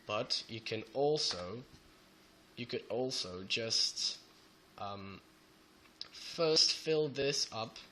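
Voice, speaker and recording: A young man with a Belgian Dutch accent who is a bit sick, talking haltingly through a coding tutorial with many fillers. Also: medium pitch, bright, forceful tone, a little hiss or hum. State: sick, voice sounds off. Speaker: programming tutor. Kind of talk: screen-recorded coding tutorial. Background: keyboard typing and clicks.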